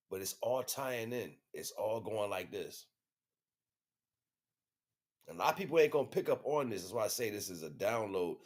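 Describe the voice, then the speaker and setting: Deep-voiced American man rambling casually. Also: darkish tone. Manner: slangy, repetitive with frequent check-in phrases. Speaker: livestream host. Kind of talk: casual rambling monologue to viewers.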